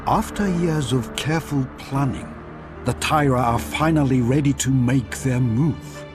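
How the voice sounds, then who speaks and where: An actor playing a solemn, proud narrator of samurai history, giving dramatic, emphatic video game cutscene narration. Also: male voice, mid-range voice, unhurried, music in the background, natural voice. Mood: ominous.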